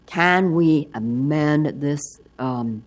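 An older woman with a hoarse, froggy voice giving rambling public comment at a council hearing. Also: deep voice, very slow. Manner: rambling, chatty. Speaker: public testifier.

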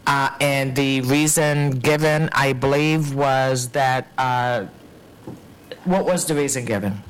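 A man, a commissioner, asking halting questions of staff at a public hearing while searching for words. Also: medium pitch, fairly even intonation, clean tone.

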